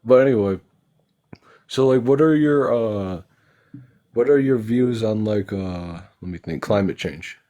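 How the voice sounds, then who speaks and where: Deep, mellow-voiced hesitant male caller. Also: measured pace, long pauses. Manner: hesitant, full of "like" and "uh".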